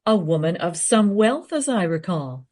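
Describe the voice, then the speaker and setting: Lively, assertive documentary interviewer. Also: female voice, on the low side, normal speed. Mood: coaxing, flattering. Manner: assertive, smoothly flattering. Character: brisk, flattering, impatient female documentary interviewer. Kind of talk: radio play acting.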